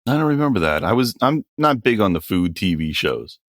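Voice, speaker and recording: 48ish guy, lowish voice, jokey and blunt, swears casually, dry close mic. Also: sing-song, fairly quick, fairly bright tone, no echo. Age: 40s. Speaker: podcast co-host. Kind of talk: casual chat.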